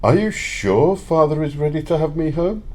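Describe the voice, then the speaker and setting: An old miser, wistful and defensive, acted by a man in an audio play. The voice is fairly high and soft-edged, breaking into emotional exclamations with very lively intonation. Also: moderate pace, close to an everyday voice. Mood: subdued, earnest. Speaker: amateur library staff actor.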